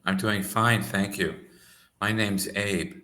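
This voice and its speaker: An American man tutoring English over a video call, speaking simply and clearly for a learner. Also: lowish voice, steady tone, sharp tone. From United States. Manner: slow, simple phrasing for a learner. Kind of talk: casual conversation lesson. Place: home in Saudi Arabia.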